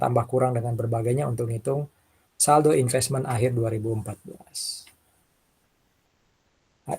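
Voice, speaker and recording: A man with a husky voice, lecturing informally and talking through worked examples, often checking in with short tags. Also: mid-range voice, warm tone. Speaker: accounting lecturer.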